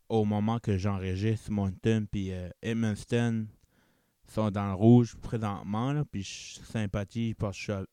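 A man's voice: lowish voice, warm tone, clean tone, dry recording, studio quality. A young man with an Acadian Chiac accent hosting a casual solo podcast, rambling and correcting himself, slipping English words into his French. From New Brunswick, Canada. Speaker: podcast host.

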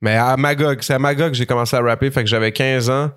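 A man's voice: mid-range voice, fairly even intonation, fast, fairly bright tone, clear, resonant tone. A man in his thirties, from France, with a Quebec French accent, telling stories in a rambling, slangy casual podcast chat. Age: 30s. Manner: rambling, slangy, full of fillers. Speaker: guest rapper.

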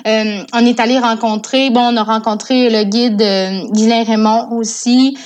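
A woman's voice: fairly high pitch, steady tone, measured pace. A woman with a Quebec French accent, an interview guest telling stories in a casual, enthusiastic way. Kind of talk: casual conversational storytelling.

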